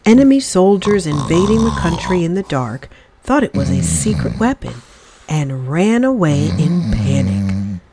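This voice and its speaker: A woman reading a children's story aloud in expressive, dramatic narration with deliberate phrasing. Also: on the low side, noisy background.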